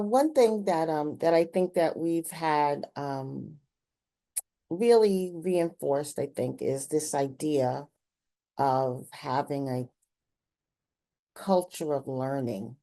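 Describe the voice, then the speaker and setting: A woman's voice: sits low, animated, slow, long pauses, mellow. A woman interviewing in a conversational, reflective way, explaining her points and often checking in with the listener.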